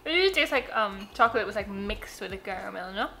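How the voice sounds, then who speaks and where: A woman's voice: medium pitch, expressive, quick pace, vocal fry, pushed and bright. A woman in her twenties vlogging casually at home, chatty and giggly, talking into a handheld camera in her kitchen. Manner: chatty, giggly, with frequent "like" fillers. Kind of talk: casual vlog chat. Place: home kitchen and dining room, indoors. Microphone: handheld camera held at arm's length.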